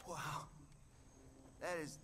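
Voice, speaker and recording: An actor playing an easygoing hippie helicopter pilot in a video game, talking in loose slang. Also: male voice, drawn-out words. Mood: relaxed, impressed. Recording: very loud background noise.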